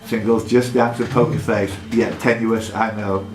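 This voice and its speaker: A man's voice: on the low side, steady tone, normal speed, music in the background. Echoey male pub quizmaster. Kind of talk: live pub quiz hosting, reading questions aloud. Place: indoor pub. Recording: echoey pub room with background noise.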